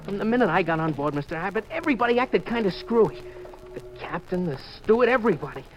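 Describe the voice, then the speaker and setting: Young sailor, about nineteen: high, jumpy, desperate, 1950s radio acting, faint music beneath. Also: male voice, sing-song, quick pace. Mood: uneasy, secretive. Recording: muffled old radio recording, faint music.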